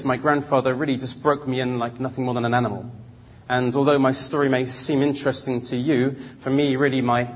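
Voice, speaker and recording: Husky Londoner telling his life story, a man. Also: medium pitch. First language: Cantonese. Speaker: speaker giving personal testimony. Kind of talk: autobiographical storytelling to an audience.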